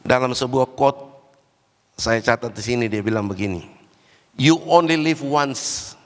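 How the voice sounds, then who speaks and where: A man preaching a Pentecostal sermon while fighting a cold and cough, swinging between loud, raised exhortation and low, quiet asides. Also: a little slow. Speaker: guest preacher. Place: large church hall. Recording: church hall with long reverb.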